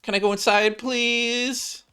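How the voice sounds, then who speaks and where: A man voicing an excitable, childlike young woman in a game story, gushing and pleading eagerly. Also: very high voice, slow, smooth, pitched above the performer's own voice, exaggerated voice.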